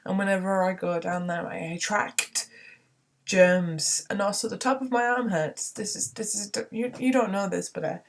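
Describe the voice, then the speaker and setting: A young woman, hoarse from a cold, ranting earnestly in a casual vlog from her bedroom, rambling with frequent restarts. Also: mid-range voice, fairly even intonation, normal speed.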